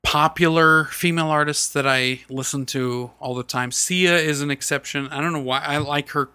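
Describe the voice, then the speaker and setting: A man co-hosting a casual podcast chat, with a high voice, animated and quick to laugh and exclaim. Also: clear, resonant tone, no echo. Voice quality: high voice he sings falsetto with. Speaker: podcast co-host.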